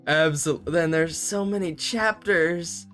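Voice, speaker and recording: A man reads fanfiction aloud for laughs, his voice a touch higher than most men's. He keeps breaking off mid-sentence for sarcastic asides and chuckles. Faint music plays underneath. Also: slow, a bit bright. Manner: sarcastic, self-interrupting asides with frequent laughs. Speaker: YouTuber reading fanfiction aloud. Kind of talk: comedic commentary and reading.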